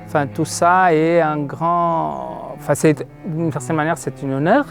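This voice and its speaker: A man's voice: fairly high pitch, fairly even intonation, unhurried, gravelly, soft background music. A man whose first language is Portuguese, speaking in an interview about reading a letter on stage, hesitant and searching for words.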